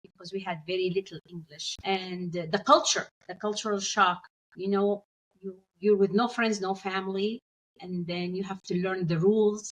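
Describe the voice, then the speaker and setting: A woman's voice: medium pitch, steady tone, moderate pace, poor audio. Syrian-raised woman dentist telling her story. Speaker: dentist, guest. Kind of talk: interview storytelling.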